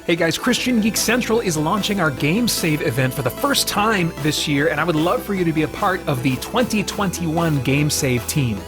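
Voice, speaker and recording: A man hosting a review show, talking in a casual, opinionated way with earnest, halting delivery and emphasis on key words. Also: a little high, animated, over music. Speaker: host of a comics review series.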